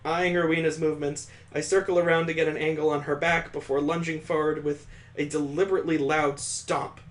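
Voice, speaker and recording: A man with a slightly high voice narrates a visual novel for a gaming audience. He reads the prose with drama, then drops into incredulous, amused commentary of his own. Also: level tone, brisk. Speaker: gaming channel host doing a let's play. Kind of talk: reading visual novel text aloud with joking asides.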